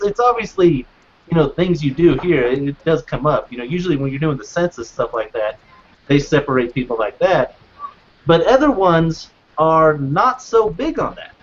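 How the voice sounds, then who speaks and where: A man co-hosts a casual podcast, swearing often and wandering through his points with restarts and fillers. His voice sits high for a man. The recording is dull and lo-fi. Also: slight background noise. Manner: profane, rambling, with restarts and fillers. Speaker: podcast co-host. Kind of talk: casual chat.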